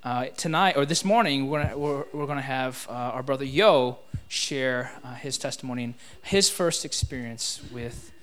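A man introducing a guest speaker at a church retreat, halting and full of fillers. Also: mid-range voice, fairly bright tone, clear, resonant tone. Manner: halting, lots of fillers and restarts. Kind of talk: spoken introduction.

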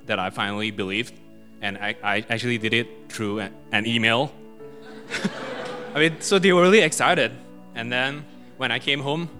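A young man with a Malaysian accent giving a casual personal testimony at a church retreat, self-deprecating and quick to laugh, with plenty of fillers. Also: mid-range voice, fairly bright tone. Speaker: guest speaker.

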